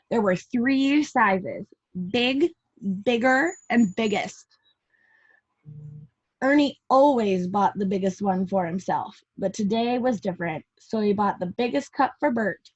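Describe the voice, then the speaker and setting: A woman's voice: mid-range voice, slow pace, husky. A woman reading a story aloud to young children, stopping for chatty asides and questions to her listeners. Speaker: preschool teacher reading aloud. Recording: dull lo-fi home recording.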